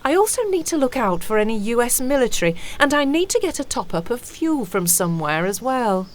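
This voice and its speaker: A woman playing a helpful, chatty former pilot in an amateur audio play, speaking in a deliberate, friendly way. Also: a little high, very animated. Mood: matter-of-fact. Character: helpful, chatty female ex-pilot offering a rescue flight. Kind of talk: amateur audio drama acting.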